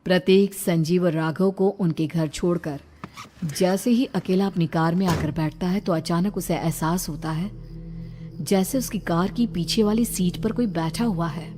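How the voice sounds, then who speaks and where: A woman's voice: fairly low pitch, measured pace, music in the background. A woman storyteller reading a scripted narration for a story.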